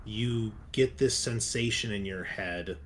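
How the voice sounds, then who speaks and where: A man narrating a tabletop role-playing game as game master in a halting, conversational way. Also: on the low side, fairly bright tone, a little hiss or hum. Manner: conversational, halting with frequent 'like'.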